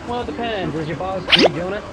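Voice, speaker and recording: A man's voice: high-pitched, animated, fast talker, heavy background noise. A man bantering casually as a guest on a game livestream.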